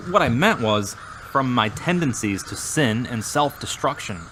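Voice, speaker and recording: An actor plays an earnest young man in an audio drama. His mid-pitched voice swings widely, with an edge of frustration. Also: expressive, measured pace, background noise, lightly acted.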